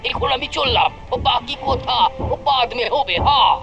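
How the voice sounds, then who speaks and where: A man's voice: very high-pitched, fairly even intonation, normal speed, vocal fry, muffled recording. A man voicing a sly, lazy cartoon police constable, wheedling and obsequious in Hindi-influenced Bengali. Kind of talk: exaggerated cartoon voice acting. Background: music.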